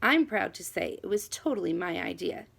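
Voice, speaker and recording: Female storybook narrator, lively and expressive, swooping intonation, very gravelly fry in the voice. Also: fairly low pitch, moderate pace. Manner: animated, dramatic emphasis on key words. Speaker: audiobook reader. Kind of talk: expressive children's book narration.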